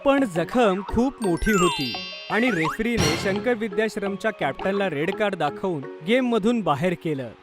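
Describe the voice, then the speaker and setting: A man with a notably high-pitched voice narrates a scripted story for children. Music plays clearly behind his storytelling. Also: expressive, normal speed.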